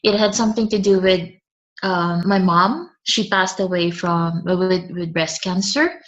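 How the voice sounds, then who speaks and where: A woman's voice: fairly low pitch, fairly even intonation, unhurried, muffled recording. A woman, a guest speaking hesitantly and often restarting her words. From Philippines. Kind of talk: conversational interview.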